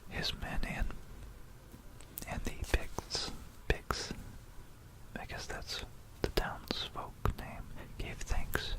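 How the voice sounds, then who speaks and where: An ASMR host whispering a rambling, informal history narration in a breathy, unvoiced voice, halting and musing, close to a binaural microphone. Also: poor audio. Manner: halting, musing, repeats phrases.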